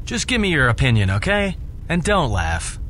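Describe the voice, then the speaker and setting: A man's voice: a little high, wildly swooping pitch, normal speed, a bit bright, slight background noise. A man playing a brash, insecure and easily riled spacer in a video game, talking in a casual, pushy, defensive way. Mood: awkward probing. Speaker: game character. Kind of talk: conversational game dialogue.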